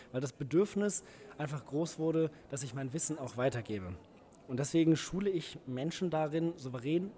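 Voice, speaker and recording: A man's voice: a little high, dark tone, slight background noise. A young man, an event host and speaking coach, talking in an interview in an articulate, composed and reflective way. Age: young adult. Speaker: event host and rhetoric trainer.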